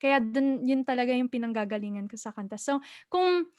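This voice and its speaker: A woman's voice: fairly high pitch, animated, brisk, darkish tone, no echo. A young woman who grew up in the United States, chatting in an interview and switching between English and Tagalog. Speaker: singer being interviewed.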